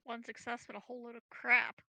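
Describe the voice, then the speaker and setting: Bright, creaky-voiced woman gamer. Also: on the high side, completely flat delivery, quick pace, no echo. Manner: wry, joking. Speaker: tabletop game player. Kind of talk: casual game chat.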